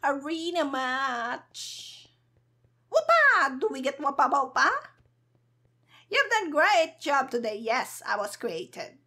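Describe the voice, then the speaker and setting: A woman's voice: high voice, very animated, slow pace, pauses often, pushed and bright. A woman streamer giving casual, playful gameplay commentary in a cheeky, hyper, giggly manner. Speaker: gaming streamer, virtual avatar host.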